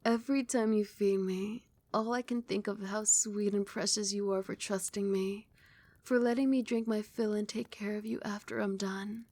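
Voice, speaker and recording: ASMR casting: a young woman as a tender, possessive vampire queen, soft soothing whisper, close mic. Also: medium pitch, steady tone, moderate pace, no echo, close to an everyday voice. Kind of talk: ASMR roleplay.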